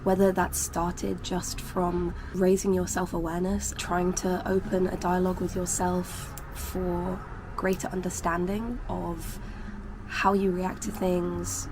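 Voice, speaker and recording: A woman from England, hosting her channel in a casual vlog introduction; her voice is husky and sniffly from a cold, and she talks in an earnest, reflective way. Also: lowish voice, level tone, a little slow. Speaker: channel host. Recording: clear background noise.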